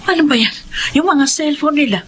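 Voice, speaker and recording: A woman's voice: high voice, fairly quick, natural voice. A woman playing an anguished, protective mother with an emotional, pleading manner in a radio drama. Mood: worried, fretting. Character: anguished, protective mother, a long-wronged wife.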